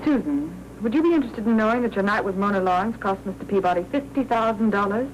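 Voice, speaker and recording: An actress playing a brisk, sardonic American newspaperwoman with dry, clipped delivery in a 1940s crime film. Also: mid-range voice, lively intonation, natural voice. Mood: curt, annoyed. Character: sardonic, brisk working newspaperwoman, cool and impatient. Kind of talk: 1940s Hollywood film acting. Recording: noisy old 1940s film soundtrack.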